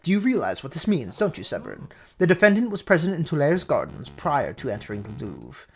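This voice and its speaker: A woman voicing an arrogant male falcon defence lawyer in a video game, lecturing and deliberate in a cutting, logical way. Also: sits low, big pitch swings, soft background music, full character voice.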